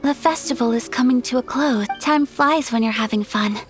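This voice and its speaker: Woman voicing a high-pitched, refined young noblewoman. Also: normal speed, lightly acted. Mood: wistful. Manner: formal, courteous, gentle. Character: polite, gentle, refined young noblewoman, earnest and modest. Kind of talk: anime video game voice acting. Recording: game audio with music underneath. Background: game music.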